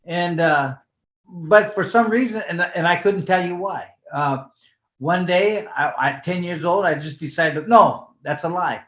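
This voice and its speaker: Brief: older man, fairly high voice, casual rambling storyteller, roomy echo, dull lo-fi sound. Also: measured pace, fairly bright tone. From California. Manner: laid-back, rambling, heavy "you know" fillers. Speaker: guest, former professional boxer.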